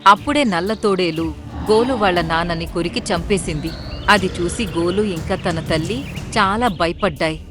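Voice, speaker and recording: A woman's voice: on the low side, moderate pace, music in the background. A woman narrator reading a scripted children's story.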